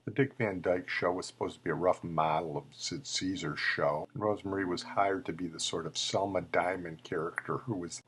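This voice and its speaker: A male host with a very deep, rough-edged voice. He narrates a tribute informally, setting up clips as he goes.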